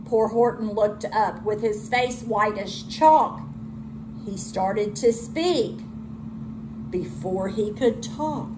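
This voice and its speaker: A woman teacher reading a children's storybook aloud with expressive delivery. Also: medium pitch, slow, noisy background.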